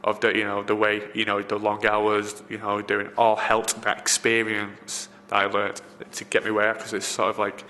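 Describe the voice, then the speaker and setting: Man with a flat, bright voice. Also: fairly low pitch, moderate pace, few pauses. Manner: rambling, frequent fillers and restarts. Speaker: film location assistant. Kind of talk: casual interview answers. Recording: reverberant room, faint background noise.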